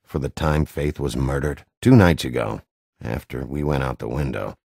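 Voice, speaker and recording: An actor playing a gruff, hot-tempered werewolf detective in a video game, a middle-aged man with a gravelly, husky, blunt, intimidating delivery. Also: a bass voice, moderate pace, a little mellow, dry recording, studio quality, heavily acted. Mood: stern, pressing. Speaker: interrogating lawman.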